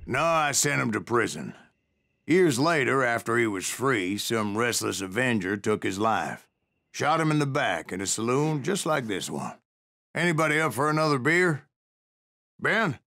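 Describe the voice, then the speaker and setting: An actor playing a grizzled old gunslinger with an American Western accent, spinning boastful, salty tales in a video game. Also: male voice, mid-range voice, vocal fry, a bit bright. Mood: wry. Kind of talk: dramatic storytelling narration. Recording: music behind the voice.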